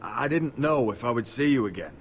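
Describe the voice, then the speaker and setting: A man's voice: mid-range voice, animated, brisk, soft background music, close to an everyday voice. A man acting as a burdened, protective young lord in a fantasy video game, with an earnest delivery. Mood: stunned, earnest.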